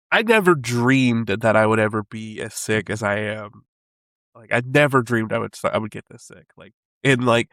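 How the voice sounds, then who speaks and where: Husky sick guy hosting a podcast, laid back, rambly, dry sound. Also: mid-range voice, a bit bright, dry recording. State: sick with a head cold, husky. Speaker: podcast co-host. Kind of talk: casual chat.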